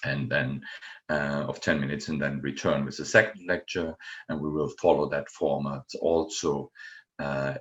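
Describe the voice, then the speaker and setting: A middle-aged man from Germany with a German accent, giving a university lecture in an unhurried way with many ums and uhs. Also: very deep voice, fairly even intonation, dull audio. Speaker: guest lecturer.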